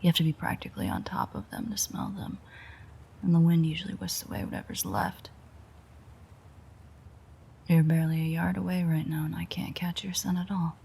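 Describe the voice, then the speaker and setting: A woman playing a sardonic, teasing, world-weary female vampire in an intimate audio roleplay, with a breathy, husky, whispery voice and a dry manner. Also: lowish voice, moderate pace, long pauses, dark tone, heavily acted. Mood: wry, reminiscing.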